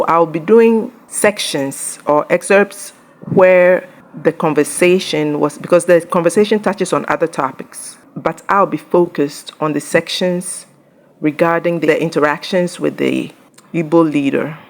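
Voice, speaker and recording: A woman's voice: sits low, a little slow. A woman hosting her own channel, carefully translating an interview into English; Ga is not her first language.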